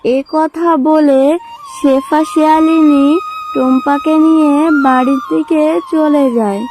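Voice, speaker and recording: A woman's voice: high-pitched, fairly even intonation, takes it slowly, over music, poor audio. A woman reading scripted storybook narration for a cartoon tale.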